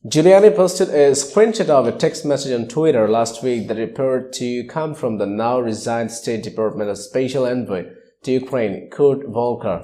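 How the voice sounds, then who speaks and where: A man's voice: mid-range voice, normal speed, mellow. A man reading scripted news narration as a news reader.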